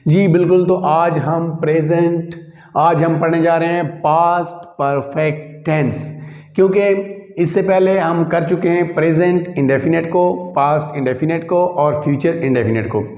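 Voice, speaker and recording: A man's voice: fairly high pitch, a little slow, a little mellow. A man with a Pakistani accent giving a methodical, repetitive step-by-step grammar lecture to online students. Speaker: English grammar teacher. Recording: echoey room.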